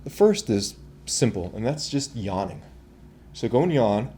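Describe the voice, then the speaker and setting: A man talking through voice and breathing exercises in a relaxed, conversational way. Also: medium pitch, a little slow, a little hiss or hum. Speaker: voice coach. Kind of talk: instructional talk.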